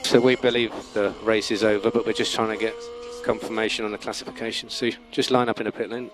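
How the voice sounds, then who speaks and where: A man working as a race engineer, giving calm, step-by-step instructions over team radio and repeating key words. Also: fairly low pitch, quick pace, noisy background.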